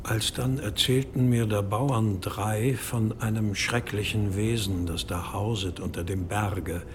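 A man playing a grave old-time chronicler in a radio play, reading in measured, ominous archaic diction. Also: lowish voice, fairly even intonation, noisy background, lightly acted. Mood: ominous, solemn.

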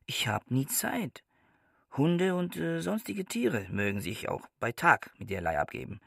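Gravelly, pedantic bookish man. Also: on the high side, very animated, unhurried, fairly bright tone, no echo, studio quality, heavily acted. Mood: brooding, indignant. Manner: pedantic, brooding inner monologue. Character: stiff, bookish bridegroom, anxious and self-absorbed. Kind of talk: German radio play acting.

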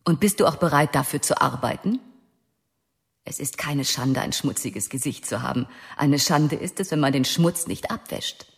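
Low-voiced, prim, lecturing older sister, long reverb, faint music, radio play. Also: female voice, lively intonation, normal speed, creaky. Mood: earnest. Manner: earnest, lecturing. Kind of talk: radio drama acting.

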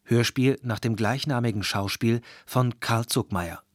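A man reading formal scripted credits as a radio announcer, measured and precise. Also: mid-range voice, dry recording, clean studio sound.

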